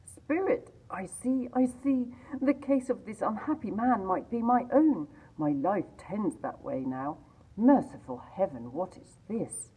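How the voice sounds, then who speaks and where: A woman's voice: medium pitch, lively intonation, measured pace, warm tone, husky, voice pushed up. A woman voicing a terrified old miser who pleads with a raised voice. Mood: horrified. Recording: tinny phone-like sound.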